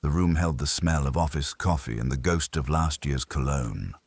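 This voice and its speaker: Very deep, husky male detective-story narrator. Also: moderate pace, darkish tone, no echo. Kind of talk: scripted audiobook narration of a hardboiled detective story.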